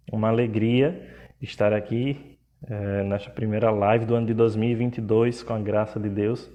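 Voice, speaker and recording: Slow, soft-toned Pernambuco-accented priest. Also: male voice, on the low side. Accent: Pernambuco Portuguese. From Pernambuco, Brazil. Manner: slow, measured, with frequent hesitations. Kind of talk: livestream talk.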